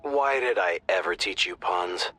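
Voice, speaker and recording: A wisecracking squad agent. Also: male voice, low voice, measured pace, faint music behind, exaggerated voice. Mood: wry. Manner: dry humor, lively delivery. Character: wry, joking male squad agent.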